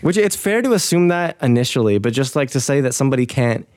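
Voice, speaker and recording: Young man, from the US, hesitant, fillers, restarts, casual chat, mid pitch, dry close sound. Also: lively intonation, fast, darkish tone, no echo. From United States.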